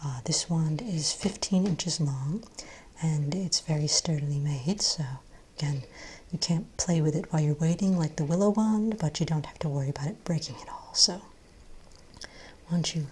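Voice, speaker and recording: A woman with a low, soft, breathy voice that often slips close to a whisper. She plays a shopkeeper in an ASMR role play, talking gently and without hurry in a reassuring way. A faint layer of noise sits behind the voice. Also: normal speed. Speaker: ASMR role-play performer as wand shop owner. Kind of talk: soft-spoken ASMR role play, improvised sales patter.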